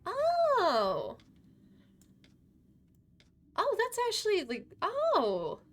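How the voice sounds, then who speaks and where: A woman's voice: very high voice, wildly swooping pitch, drawn-out words. A young woman streaming live while gaming, chatting in a rambling, giggly way, her voice a bit worn from losing it lately. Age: young adult. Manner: rambling and giggly, full of fillers. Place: her bedroom.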